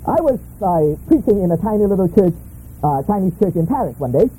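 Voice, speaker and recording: Man, middle-aged, preacher, high pitch, very dark tone, emphatic and humorous, short phrases. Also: big pitch swings, brisk, a little hiss or hum, low-quality recording. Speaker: guest preacher. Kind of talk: sermon delivered through an interpreter.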